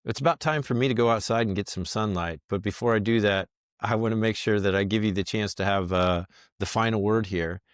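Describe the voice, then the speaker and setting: A man's voice: fairly low pitch, brisk, clear, resonant tone, no echo, clean studio sound. A man hosting a casual podcast interview, wrapping up with friendly, rambling remarks and many fillers. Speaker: podcast host.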